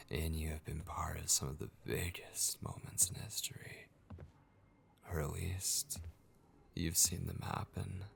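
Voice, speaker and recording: A man voicing a sinister, possessive figure taunting a captive vampire in an ASMR horror roleplay, husky and often dropping into a whisper, coaxing with mock tenderness. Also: low voice, a little hiss or hum, heavily acted. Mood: flattering, possessive. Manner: slow, coaxing, mockingly tender. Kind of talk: ASMR horror roleplay, intimate dramatic monologue.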